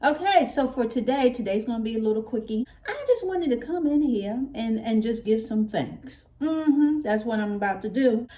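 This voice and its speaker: An American woman talks casually to her viewers as a vlogger. Her voice sits a little high. She is chatty and playful, leaning on words and drawing them out for emphasis. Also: expressive, normal speed, few pauses, dark tone. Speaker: hair care vlogger.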